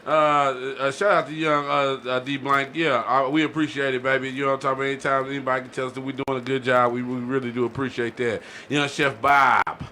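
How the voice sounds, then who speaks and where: A man hosting a laid-out podcast segment in loose, slangy conversation. His voice is bright and forward at a middle pitch, and he keeps tagging sentences with little check-in phrases. There is light noise behind him. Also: flat delivery, a little fast, hardly any pauses. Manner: repeats "you know what I'm saying" tags. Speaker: podcast host. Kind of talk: casual podcast talk with slang. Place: podcast studio.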